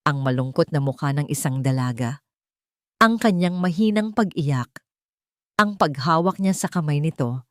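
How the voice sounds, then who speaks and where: A woman narrating a scripted romance story in a dramatic, measured way, phrase by short phrase. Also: low voice, no echo, studio quality.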